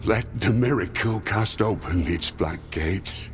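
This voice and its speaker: A grave, devout revenant in a gothic game cutscene, with a very low voice that drags through every solemn, archaic phrase. He sounds tormented and breathy as lines fade, over a soft bed of music. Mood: weary, ominous. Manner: solemn, archaic, drawn-out delivery. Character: tormented man returned from death, grave and devout. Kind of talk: theatrical video game cutscene acting.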